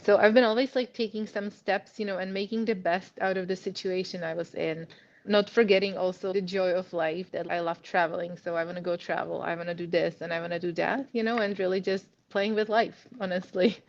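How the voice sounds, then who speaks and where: A woman's voice: fairly low pitch, fairly even intonation, a little fast, creaky. Czech-accented woman, chatty, lo-fi sound. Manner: chatty, laughs often, many "like" and "you know" fillers. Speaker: guest. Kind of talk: casual interview.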